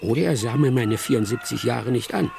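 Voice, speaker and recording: A man acts a wry village hunter in his seventies as narrator of a radio play. His middle-pitched voice is gravelly and swings expressively. Music plays behind him. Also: a little fast, close to an everyday voice. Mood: wry storytelling. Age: older. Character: old village hunter, 74, wry storyteller of the bush. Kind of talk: radio drama first-person narration.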